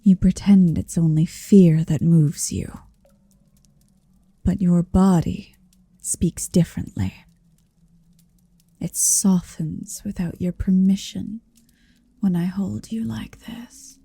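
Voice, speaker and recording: A woman playing a possessive, centuries-old dragon in human form, tender yet commanding, in a soft, hushed ASMR roleplay that often slips into whispers. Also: fairly low pitch, fairly even intonation, dry recording, exaggerated voice. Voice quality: soft, breathy. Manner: slow, deliberate, hushed, often slipping into whisper. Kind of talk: ASMR roleplay, intimate second-person monologue.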